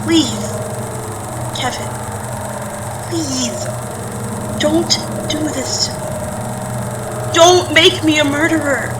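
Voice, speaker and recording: Very high-pitched, pleading young daughter. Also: female voice, drawn-out words, long pauses, distant mic, very noisy. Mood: pleading, insistent. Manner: earnest, emphatic, imploring. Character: pleading, stubborn young daughter loyal to her monstrous father. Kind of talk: audio play acting.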